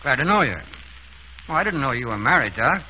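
An actor in a 1930s radio mystery playing a plain-spoken, determined racehorse trainer. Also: male voice, medium pitch, very animated, fairly quick, lightly acted. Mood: friendly. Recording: dull, noisy 1930s radio recording.

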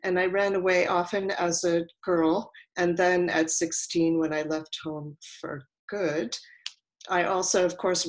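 An older woman giving reflective, prepared remarks as a panelist in a measured, deliberate manner. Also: sits low. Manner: measured, deliberate, long considered sentences. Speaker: panelist, scholar and writer.

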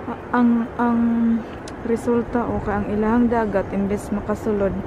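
A woman's voice: a little high, little pitch movement, normal speed, lo-fi sound. A woman with a Filipino accent vlogging casually outdoors, reading signs aloud, exclaiming and mixing in Cebuano. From Philippines. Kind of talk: casual chat. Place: outdoors at an industrial harbour lookout. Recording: outdoor phone recording with noise.

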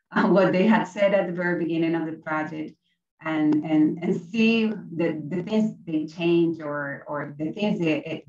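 A low-voiced young woman presents her classroom project, stopping often on fillers and false starts. She speaks into a laptop mic on a video call, so her voice sounds distant and roomy, with a slightly dull top end. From Colombia. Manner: hesitant, many fillers and restarts. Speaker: teacher presenting at a conference. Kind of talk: conference presentation.

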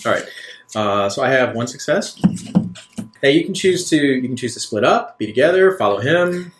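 A man's voice: mid-range voice, expressive, normal speed, very noisy. Man running a tabletop game, echoey room. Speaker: game master. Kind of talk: improvised tabletop game narration. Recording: echoey room, music underneath. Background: soft guitar music.

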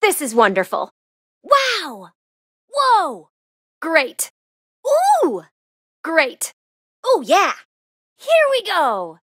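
A woman with an American accent voicing a bubbly, eager, excitable young princess racer in a video game, gushing and slightly flustered. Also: sits high, drawn-out words, pauses often, no echo, clean studio sound, exaggerated voice. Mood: excited, cheerful. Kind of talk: animated video game character voice lines.

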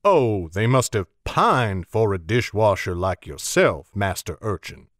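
A man's voice: mid-range voice, sing-song, a little slow, no echo, clean studio sound. A man voicing a wry older bowyer who speaks with a smirking drawl. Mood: teasing.

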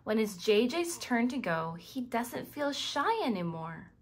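Slow, lively, high-pitched woman reading to children. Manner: expressive, addresses the child listener with questions. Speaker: children's book reader. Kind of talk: scripted read-aloud narration for young children.

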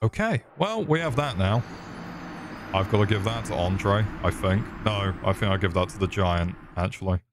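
A man's voice: on the low side, animated, moderate pace, background noise. A young man streaming a game, swearing and joking sarcastically as he plays. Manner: sweary, sarcastic, crude jokes. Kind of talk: swearing live gameplay commentary.

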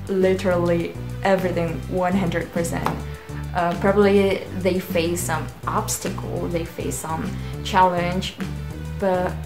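A young woman from Indonesia, a native Indonesian speaker, talking to camera in a casual, rambling, self-deprecating way as a channel host in a small bedroom. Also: medium pitch. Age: young adult. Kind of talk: casual vlog talk to camera. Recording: roomy echo with music behind.

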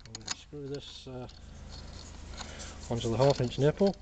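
A man casually explaining a workshop build in a relaxed, plain-spoken way, full of "uh" and "basically", filmed up close on a camera mic at a cluttered bench. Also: medium pitch, measured pace, background noise. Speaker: hobby engineer demonstrating a build.

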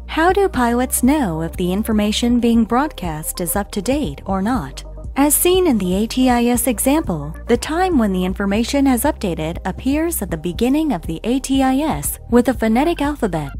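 A woman narrates an educational explainer in a high voice with very animated intonation. She speaks deliberately and enunciates each phrase, with music playing softly behind her.

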